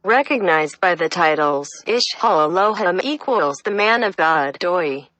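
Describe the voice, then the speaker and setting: Low-voiced woman reading citations aloud. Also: no room sound, poor audio. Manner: flat, deliberate reading, spelling out citations. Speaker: reader. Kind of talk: reading a reference text aloud.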